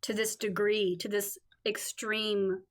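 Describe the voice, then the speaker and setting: A woman's voice: mid-range voice, flat delivery, slow pace. A woman co-hosting a podcast in casual film discussion, informal and emphatic, peppering her sentences with "like". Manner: informal, emphatic, heavy use of "like". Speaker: podcast co-host.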